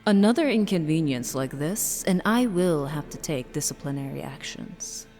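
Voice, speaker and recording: A woman playing a cold, imperious cult leader in an audio drama, speaking in a measured, solemn, menacing way. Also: deep voice, lively intonation, darkish tone. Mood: stern, cold. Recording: long hall reverb. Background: eerie horror music.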